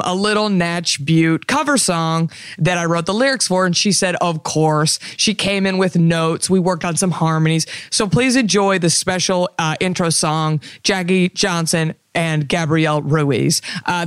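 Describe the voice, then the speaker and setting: A woman with a naturally low, smooth and clear voice hosts a beauty podcast in a relaxed chat. She is sassy and playful, tossing out "honey" and "baby" to her listeners. The sound is dry and close, with no room around it. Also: no room sound. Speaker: podcast host. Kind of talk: casual chat.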